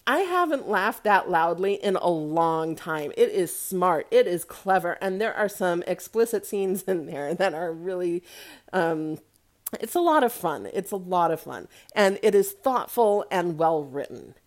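A woman's voice: on the low side, expressive, measured pace. A woman hosting a casual, opinionated review monologue, chatty and emphatic, often checking in with the listener. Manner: chatty, emphatic, rhetorical check-ins like "right?" and "okay?". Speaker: podcast host and movie reviewer.

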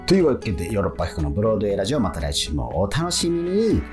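A man's voice: lowish voice, wildly swooping pitch, racing, over music. A man co-hosting a radio show in casual, chatty talk, reacting readily with interjections.

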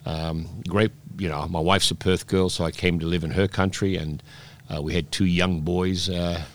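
A man in his sixties, raised in Peru, telling rambling stories with many restarts as a guest in a relaxed interview. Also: low-pitched, moderate pace. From Scotland, raised in Peru. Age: 60s. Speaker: guest, oyster bar operator.

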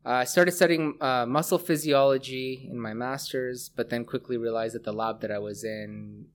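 A middle-aged Canadian man speaking as a scientist guest in a conversational interview, pausing often as he thinks. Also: mid-range voice, fairly even intonation. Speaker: interview guest, research scientist and lab head.

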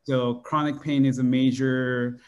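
A man's voice: medium pitch, level tone, unhurried, darkish tone, dull audio. A young man from Bangladesh, a neuroscience PhD student, answering interview questions with lots of fillers and "sort of". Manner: hesitant, many fillers and "sort of".

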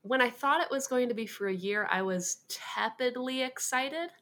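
A woman's voice: fairly high pitch, moderate pace, bright, forceful tone. A young woman who grew up in Hawaii, chatting as an interview guest in a casual, self-deprecating way with frequent "um"s and "like"s.

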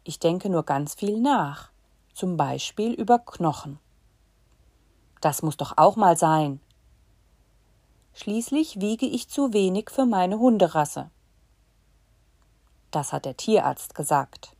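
A woman giving a slow dictation to learners, reading in short chunks and saying the punctuation aloud. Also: fairly low pitch, animated, darkish tone, dry recording, studio quality.

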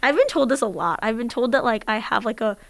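A young woman co-hosting a podcast with a raspy voice, talking in a straightforward, assertive way and laughing often. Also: a little high, expressive, fast, a bit bright. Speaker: podcast co-host. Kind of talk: casual podcast chat.

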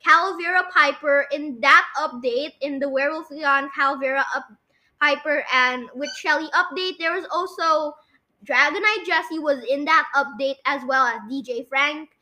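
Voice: high voice, moderate pace, sharp tone, low-quality recording. A young podcast host, sounding like a child or young teen, running a casual quiz chat and bossily correcting a co-host.

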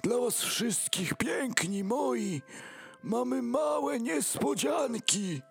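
Slow, gravelly old Santa. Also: male voice, very high-pitched, expressive, a bit bright, heavily acted. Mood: jolly, booming. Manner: hooting, declaiming in rhyme. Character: jolly old Santa Claus, theatrical gift-bringer. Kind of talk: rhymed audio drama acting. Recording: music behind the voice.

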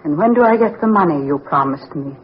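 An actress playing a cold, grasping private nurse in an old radio drama. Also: female voice, low voice, animated, a little fast. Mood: cool, demanding. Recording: dull, noisy vintage radio recording.

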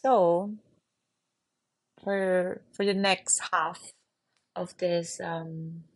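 A Filipino woman with a Filipino accent and a low, gentle voice hosts a reflective podcast from her bedroom. She talks slowly and soothingly and stays calm and intimate throughout. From Philippines. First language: Tagalog. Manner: soft and soothing, slow, with frequent small laughs. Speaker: podcast host. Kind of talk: gentle guided reflection and casual chat. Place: bedroom, sitting on the bed.

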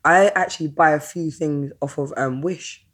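A woman's voice: low voice, normal speed, husky. A woman hosting a casual podcast interview, speaking in an informal, slangy way.

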